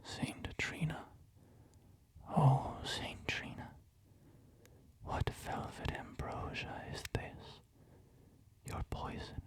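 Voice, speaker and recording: A man in a slow, hushed whisper, voicing a jealous male devotee who dreams of his saint's poison. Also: on the high side, pitched above the performer's own voice, full character voice. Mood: yearning.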